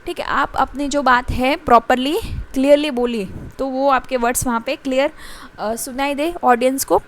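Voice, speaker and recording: A woman with an Indian accent delivers a lecture in a high voice. She halts often on fillers and restarts her words. English passages come out slow and deliberate, as if read off slides. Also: fairly even intonation. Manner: halting, frequent filler pauses and restarts. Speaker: teacher. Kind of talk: mixed-language lecture reading from slides.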